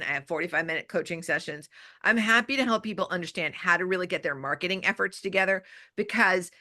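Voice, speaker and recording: A woman guesting on a podcast, talking about marketing in an energetic, emphatic way and repeating her key phrases. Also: medium pitch. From Los Angeles area, US. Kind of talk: casual interview chat.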